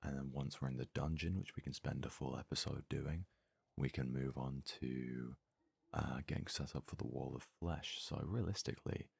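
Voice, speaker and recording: A man doing hushed ASMR gaming commentary with a gravelly, husky voice, casually musing aloud close to the microphone. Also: a bass voice, fairly even intonation, moderate pace, no room sound. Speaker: let's play host.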